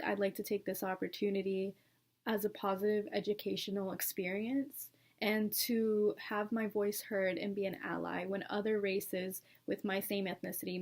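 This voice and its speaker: Young woman reading her personal story. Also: mid-range voice. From Colombia. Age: young adult. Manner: reads steadily from a script, earnest and reflective. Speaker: student. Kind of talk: scripted narration of a personal digital story.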